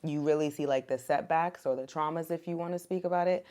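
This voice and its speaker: A woman's voice: low voice, fast. A young woman, born in Ethiopia and raised in America, talking casually as a podcast guest about being a mother, with lots of "like" and "um". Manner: relaxed, many fillers like "like" and "um". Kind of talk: casual conversation.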